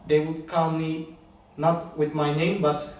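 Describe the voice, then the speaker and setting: A young man answering interview questions calmly and reflectively, telling his own story. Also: fairly high pitch, takes it slowly, a little hiss or hum. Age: young adult. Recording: very echoey room, distant mic, faint ambience.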